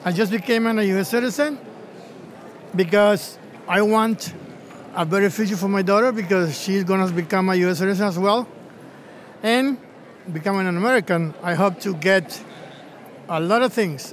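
A man from El Salvador with a high voice speaks haltingly, stretching some words as he goes. Faint traffic and city ambience surround him. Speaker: new citizen.

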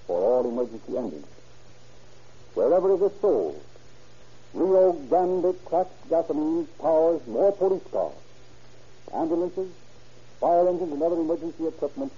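A man's voice: mid-range voice, warm tone, breathy edge. A man announcing and narrating in formal, declamatory 1930s radio style. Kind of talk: 1930s radio dramatized narration and sponsor pitch. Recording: muffled, noisy 1930s radio recording.

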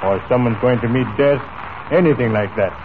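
A man's voice: mid-range voice, natural voice. An actor playing a genial, folksy, wealthy oilman in an easygoing 1940s radio style. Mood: grave, intrigued. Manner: easygoing, folksy, deliberate storyteller. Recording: noisy, tinny old radio recording.

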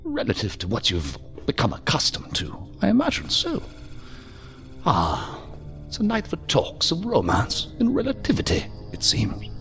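A silky, pompous ambassador of a secret power in a sci-fi audio drama. The voice swoops dramatically from low to high, savoring each ornate phrase with mocking courtesy and condescension, over a music bed. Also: male voice, wildly swooping pitch, moderate pace, gravelly, a bit bright, full character voice. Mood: mocking, grandiose. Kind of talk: science fiction audio drama acting.

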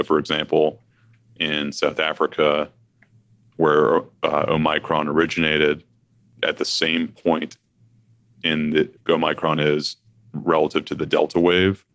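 A man speaks as a financial advisor in an extremely deep, monotone voice with a gravelly edge. He talks deliberately, thinking aloud, and the sound is dry and close. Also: no echo. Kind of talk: conversational finance discussion.

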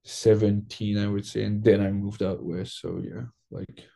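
Young Quebec-raised man, mellow low tone, relaxed filler-heavy interview talk. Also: measured pace, vocal fry. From Quebec, Canada. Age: young adult. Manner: loose, filler-heavy, lots of "like". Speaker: guest. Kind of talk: casual interview.

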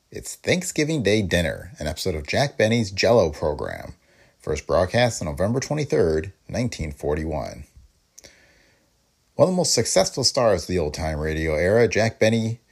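A man's voice: fairly low pitch, creaky, a little mellow, husky. A man reading a scripted podcast introduction as the host. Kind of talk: scripted narration.

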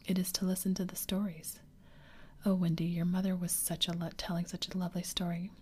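A woman's voice: lowish voice, flat delivery, fairly quick, darkish tone, breathy edge. Woman whispering as Peter Pan, a coaxing boy. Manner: eager, coaxing. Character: boastful boy who never grows up.

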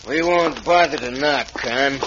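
An actor playing a callous, scheming outlaw in a radio western, with a folksy American Western accent and ungrammatical talk. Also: male voice, medium pitch, a little slow, natural voice. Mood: sly. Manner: folksy drawled menace, ungrammatical. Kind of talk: 1950s radio western acting. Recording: muffled old radio with faint hiss.

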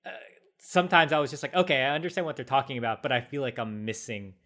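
A man's voice: mid-range voice, expressive, quick pace, a bit bright. A man chatting casually about films in a loose, informal way. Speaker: film discussion podcast speaker.

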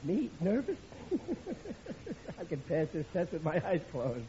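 A man's voice: fairly high pitch, big pitch swings, a little slow, dark tone. An actor playing an overconfident, bumbling middle-aged American father in an old radio sitcom, stammering and chuckling nervously. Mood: cocky. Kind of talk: 1950s radio sitcom acting. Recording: muffled, very noisy old radio recording.

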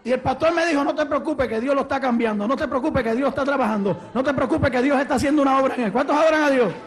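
A man with a Puerto Rican accent, an evangelist preaching and telling his testimony with fervor that rises to shouts. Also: very high-pitched, fast. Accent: Puerto Rican Spanish. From Puerto Rico. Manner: fervent, rising to shouts.

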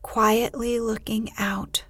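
Slow, soothing woman reading bedtime stories. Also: fairly high pitch, level tone, husky, no room sound. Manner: soothing, unhurried, hushed. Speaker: podcast host and bedtime story reader. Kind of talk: slow sleep-podcast narration.

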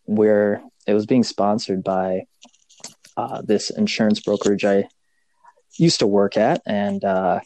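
A man from Kenya, a podcast guest, talking in a relaxed, easygoing way and pausing often to find his words. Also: fairly low pitch. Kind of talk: casual interview chat.

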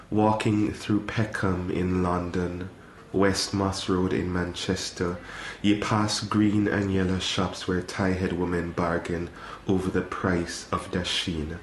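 Male poet, very low and monotone, slow delivery, roomy archive sound with faint hiss. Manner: slow, even recitation. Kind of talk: poetry reading.

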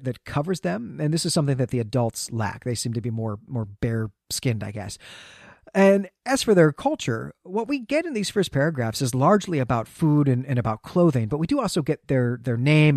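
A man's voice: mid-range voice, a little mellow, no echo, studio quality. A man co-hosting a podcast, talking through a story in a conversational, explanatory way and often checking in with his listener. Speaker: podcast co-host. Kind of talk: conversational literary recap and discussion.

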